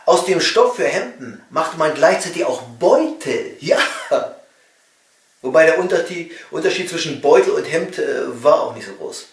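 A middle-aged cabaret man from East Germany delivers a satirical monologue in a fairly high voice. He lands lines with wry emphasis and pauses. The sound is roomy and picked up from some distance away in a small room. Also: sing-song. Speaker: cabaret comedian and author. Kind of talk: satirical comedy monologue read from a text. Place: small home study, indoors. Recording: roomy echo, distant microphone.

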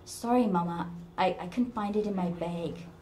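Meek adult daughter, played by a woman, storybook: soft, low, hesitant, anxious and apologetic. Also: moderate pace, roomy sound, slight background noise, lightly acted.

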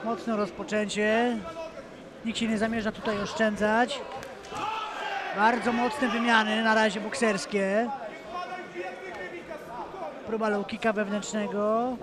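A man with a high, level voice calls a cage fight in live commentary. He keeps a calm, measured, even tone. A large crowd is clearly heard behind him. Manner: calm, measured, rises sharply at big moments. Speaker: play-by-play commentator. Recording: loud arena crowd behind.

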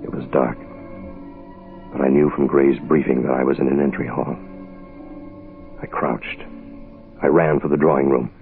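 An actor playing a terse private investigator, clipped and deadpan, in the style of 1960s radio noir. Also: male voice, a bass voice, normal speed, vocal fry, long pauses, soft background music. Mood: tense, deadpan. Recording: hissy, phone-like vintage radio recording.

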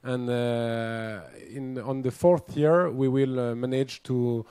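A man in his thirties with a French accent, a native French speaker, answers on a panel in halting English. His voice is mellow and smooth, and the sound is dry and close. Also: mid-range voice, steady tone, no echo. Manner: halting, many fillers and restarts.

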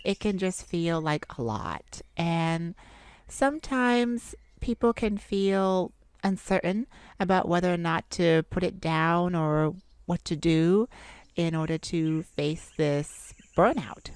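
A woman's voice: lowish voice, clear, resonant tone. A woman hosting an improvised ASMR-style self-care podcast, talking in an informal, reassuring way. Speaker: podcast host.

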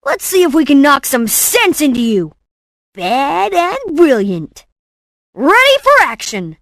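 A woman voicing a brainy, cocky, excitable boy inventor in cartoon game clips, with a theatrical, punchy delivery. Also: high-pitched, takes it slowly, dry recording, clean studio sound, exaggerated voice. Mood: confident. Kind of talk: animated game voice clips, short exclamations and catchphrases.

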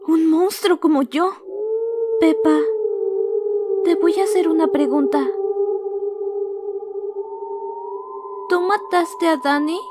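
A woman voicing a brooding young female sheep, cold and halting, in a dramatic horror comic dub. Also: very high-pitched, very noisy. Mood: haunted.

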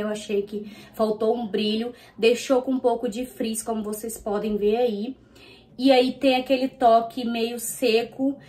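A woman's voice: on the high side, a little mellow. A woman in her thirties from Brazil, chatting casually to viewers while she styles her hair and reviews a product in a small bedroom. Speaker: beauty vlogger.

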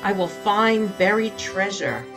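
A woman's voice: mid-range voice, lively intonation, takes it slowly, a bit bright, soft background music. A woman voicing an imaginative young girl who is eager to play pretend, in a dramatic storybook reading. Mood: excited, imaginative.

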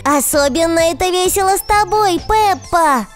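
A woman voicing an excitable, playful little piglet brother in a children's toy cartoon, babyish and full of exclamations. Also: extremely high pitch, moderate pace, soft background music. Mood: excited.

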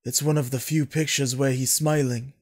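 A man playing a brooding, caring uncle and clan lord in an amateur anime audio drama, earnest and measured. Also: mid-range voice, level tone, natural voice. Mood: gentle.

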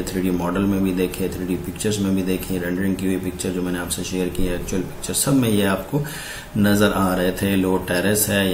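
A man from Pakistan walking through floor plans in a steady, explanatory voice-over, pointing out rooms one by one. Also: sits low, slight background noise. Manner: steady explanatory, repeating "ye dekhen" while pointing. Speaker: architect presenting house plans. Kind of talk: walkthrough narration of floor plans. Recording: roomy echo, faint ambience.

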